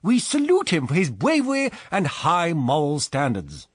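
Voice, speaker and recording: An actor playing a lofty, approving newspaper columnist. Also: male voice, on the high side, wildly swooping pitch, normal speed, husky, dry recording, heavily acted. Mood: admiring. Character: admiring male newspaper columnist.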